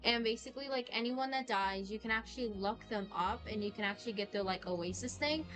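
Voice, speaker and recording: A woman's voice: a little high, brisk, a bit bright, faint music behind. Young woman rambling casually on a game stream. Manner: hesitant, rambling, filler-heavy. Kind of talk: casual live-stream commentary.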